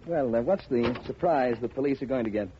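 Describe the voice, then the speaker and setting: An actor playing a servile, wordy gangster's sidekick in a 1950s radio crime drama, speaking with mannered, deferential politeness. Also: male voice, medium pitch, fairly quick, close to an everyday voice. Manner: mannered, polite, over-educated wording, deferential. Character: servile, wordy, chuckling male gangster's henchman. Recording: old muffled radio recording, light hiss.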